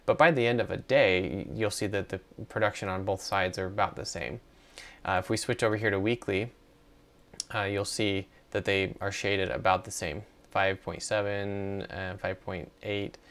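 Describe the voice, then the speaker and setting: A man's voice: lowish voice, fairly even intonation, normal speed, fairly bright tone. A middle-aged man giving a casual screen walkthrough in a hesitant, conversational way. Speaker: tutorial presenter.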